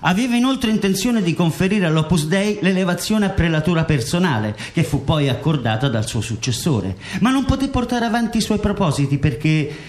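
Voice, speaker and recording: A man's voice: high voice, very animated, fairly quick, few pauses, darkish tone. A man reading scripted narration for a radio documentary drama in a roomy, echoing sound.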